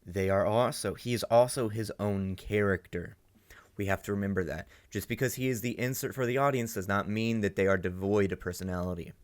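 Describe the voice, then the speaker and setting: A man's voice: fairly low pitch, fairly quick. A man hosting a reaction video, musing aloud in casual commentary and often repeating himself.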